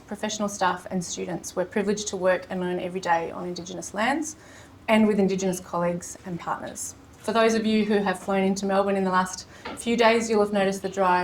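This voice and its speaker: A woman's voice: mid-range voice, steady tone, brisk, poor audio. Formal woman speaker, faint background noise. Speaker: event host giving acknowledgement of country. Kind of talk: prepared formal speech read to an audience. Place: seminar room with audience.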